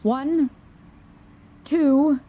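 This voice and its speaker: A woman's voice: high-pitched, steady tone, very slow. A woman playing a pushy, cryptic government agent in an audio drama, commanding, clipped and probing. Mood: commanding, threatening. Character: pushy, cryptic female government agent interrogating through a door. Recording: muffled, dull sound.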